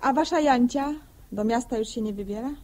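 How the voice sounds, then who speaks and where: A woman's voice: on the high side, fairly quick, dark tone, close to an everyday voice. An actress playing a proud, self-reliant young village woman, plain-spoken and earnest, in a 1960s radio drama. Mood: tender, guarded. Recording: old 1960 radio drama recording.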